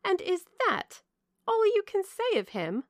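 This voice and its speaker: A woman's voice: very high voice, wildly swooping pitch, unhurried, dry recording, clean studio sound, pitched above the performer's own voice, exaggerated voice. A woman voicing an impetuous, romantic young woman in an audiobook of a classic novel, with eager emphasis. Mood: indignant, eager.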